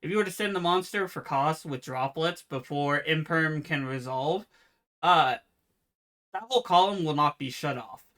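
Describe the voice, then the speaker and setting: A man co-hosting a casual podcast, with a naturally quiet voice, explaining card game rules in a relaxed, meandering way. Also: a little high, moderate pace. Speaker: podcast co-host. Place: home room with fan noise removed by software.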